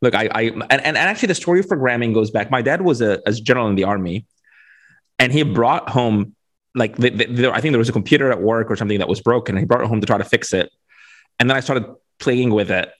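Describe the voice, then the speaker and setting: A man at a medium pitch. He talks casually as an interview guest, explaining his thoughts and often restating phrases as he goes. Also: quick pace, fairly bright tone. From Egypt. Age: 40s. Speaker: interview guest, venture capitalist. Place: remote video call.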